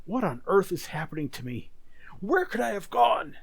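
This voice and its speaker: A man's voice: high voice, very animated, normal speed, creaky, heavy background noise, full character voice. A man playing an anxious, troubled businessman in an old-time radio drama, speaking hesitantly and with distress. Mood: distressed, fearful. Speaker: amateur community theater actor.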